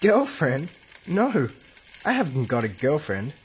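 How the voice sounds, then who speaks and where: A shy low-voiced teenage boy. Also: big pitch swings, a little slow, slight background noise. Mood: shy, lovesick. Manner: hesitant, reluctant, short answers. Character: shy, lovesick teenage boy, younger brother Chris. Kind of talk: radio drama acting for English learners.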